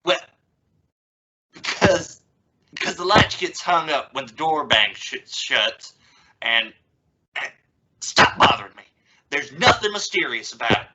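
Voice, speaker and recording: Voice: mid-range voice, sing-song, a little slow, long pauses. A woman playing a flustered, impatient middle-aged father in an old-time radio family comedy, stammering in exasperation. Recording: noisy recording with clicks.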